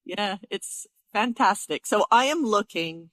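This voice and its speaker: A woman's voice: medium pitch, animated, measured pace, a bit bright, no echo. A woman podcast host chatting with a guest in an effusive, enthusiastic manner.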